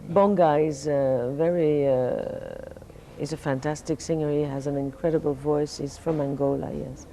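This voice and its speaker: Low-voiced sleepy Belgian French woman. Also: a little hiss or hum. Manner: relaxed, halting, many restarts and fillers. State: sleepy, fighting off jet lag. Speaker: singer, interview guest. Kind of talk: casual interview answers.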